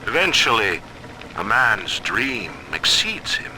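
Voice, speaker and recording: A stern, commanding male ruler from a game drama. He orates coldly at a very measured pace, with a hard, piercingly bright edge. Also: on the low side, big pitch swings, slight background noise, exaggerated voice, low-quality recording. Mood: coldly solemn. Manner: grandiose, deliberate, oratorical. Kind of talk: dramatic video game acting.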